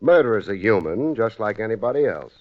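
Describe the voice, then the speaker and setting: A man acting a wry, unflappable private detective with deadpan wit in a 1950s radio drama. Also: fairly low pitch, a little fast, over loud music. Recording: dull old radio recording.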